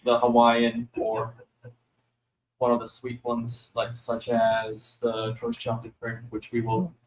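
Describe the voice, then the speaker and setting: A man's voice: mid-range voice, completely flat delivery, takes it slowly, low-quality recording. A man from the restaurant team giving matter-of-fact notes about the menu. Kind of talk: casual chat.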